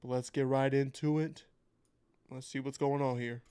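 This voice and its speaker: A man hosting a casual reaction video, sounding a little nasal from a cold, chatting in a laid-back way and reading out facts haltingly. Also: medium pitch, flat delivery, dark tone, clean tone, dry recording.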